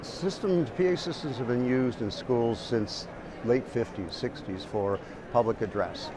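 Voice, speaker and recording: Halting man explaining over background noise. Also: medium pitch. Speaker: industry expert being interviewed. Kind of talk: explanatory interview answers.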